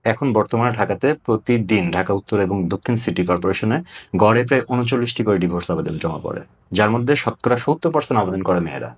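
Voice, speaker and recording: A man with a Bangladeshi accent hosts a conversational interview. His voice sits fairly low and he talks very quickly. He explains his points as he goes. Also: expressive, slightly rough.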